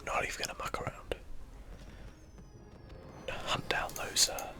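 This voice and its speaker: A man whispers breathily in casual, rambling ASMR commentary while playing a video game. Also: measured pace.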